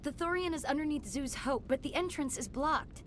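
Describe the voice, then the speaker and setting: A young female scientist for a sci-fi game. The voice is high, earnest and troubled by guilt. A faint rumble lies in the background. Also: expressive, normal speed. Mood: uneasy, remorseful. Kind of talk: video game voice acting.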